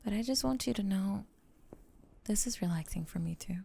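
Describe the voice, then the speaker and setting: Woman acting as a clingy yandere snake girl, soft whispery asmr, real crackly and mellow, sweet but menacing. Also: medium pitch. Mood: soothing, possessive. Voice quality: breathy, whispery. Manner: slow, tender, coaxing. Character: possessive, lovestruck young female lamia roommate, sweetly menacing. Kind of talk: ASMR roleplay, intimate whispering.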